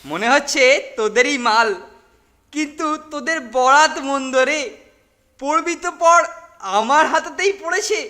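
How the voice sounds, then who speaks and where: A man's voice: extremely high pitch, a little slow, sharp tone, full character voice. A man voicing a greedy, sly boy bully who sneers and gloats. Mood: scheming.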